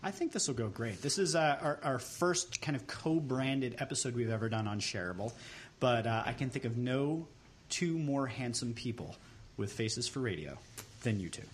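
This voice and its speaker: A man in his thirties from Long Island, hosting a podcast and telling deadpan tall tales in casual chat. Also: mid-range voice, moderate pace. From Long Island, New York. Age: 30s. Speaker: podcast host.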